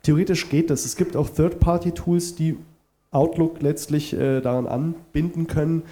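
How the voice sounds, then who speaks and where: A man's voice: a little high, fairly even intonation, normal speed, dark tone. A man with a cold presenting at a conference and answering audience questions, with many fillers and restarts. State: has a cold.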